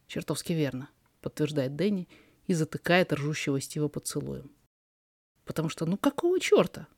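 A narrator reading a fiction story aloud in an expressive, dramatized way. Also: mid-range voice, moderate pace, husky, dry recording, clean studio sound. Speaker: podfic reader, narrator.

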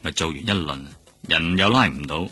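An actor with a Hong Kong accent playing a world-weary, cynical veteran police detective, blunt and sardonic, in a radio drama. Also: male voice, very deep voice, moderate pace, bright, forceful tone, slightly rough, exaggerated voice. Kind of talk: Cantonese radio drama acting. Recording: music bed behind the voice.

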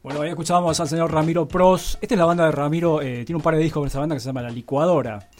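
Higher-voiced Argentine man chatting on radio. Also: steady tone, very fast, a little mellow. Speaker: radio columnist. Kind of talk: casual studio chat.

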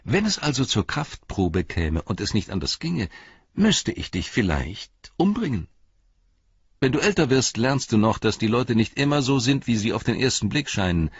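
A man's voice: mid-range voice, big pitch swings, moderate pace, husky, dry recording, muffled recording, voice pushed up. Man doing a cheeky teasing halfling. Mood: mock-threatening. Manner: playful, teasing bravado. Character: cheeky small male halfling, good-humored.

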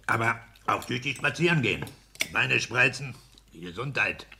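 An actor playing a boastful, bossy petty-bourgeois husband in a German radio play, with a blustering, commanding manner. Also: male voice, mid-range voice, animated, moderate pace, vocal fry, sharp tone, heavily acted. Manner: blustering, commanding, self-satisfied. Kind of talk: 1960s German radio drama acting. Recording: hissy 1960s radio play recording.

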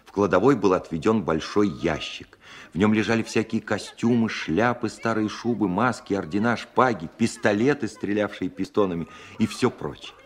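A man's voice: on the low side, normal speed, close to an everyday voice. An actor narrating a 1970s radio play as a grown man reminiscing about his childhood. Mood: reflective.

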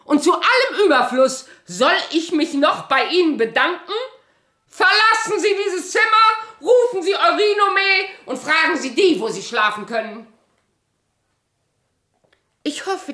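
Proud, bitter wife, swinging animated voice. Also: female voice, sits high, measured pace, heavily acted. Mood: furious, haughty. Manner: sharp-tongued, imperious, sardonic. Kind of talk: theatrical German radio drama acting.

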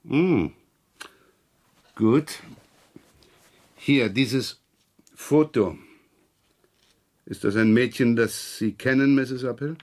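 A man's voice: medium pitch, very slow, pauses often, dry recording. A man acting a shrewd, rumpled, talkative provincial police inspector in a radio crime drama, probing and rambling with wry repetitions. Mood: businesslike, probing.

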